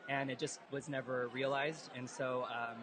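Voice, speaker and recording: A man's voice: medium pitch, flat delivery, moderate pace. A man answering hesitantly with many fillers as a guest in an interview at a live event hall. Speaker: guest, dance group organizer. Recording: noisy live event recording.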